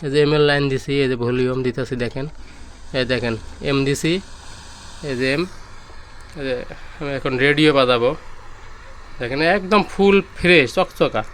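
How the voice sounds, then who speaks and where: A man's voice: mid-range voice, normal speed, long pauses, fairly bright tone, slight background noise. A man with a Bangladeshi accent giving an informal, repetitive product demonstration as a seller, pointing things out as he goes. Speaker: electronics seller demonstrating used audio gear.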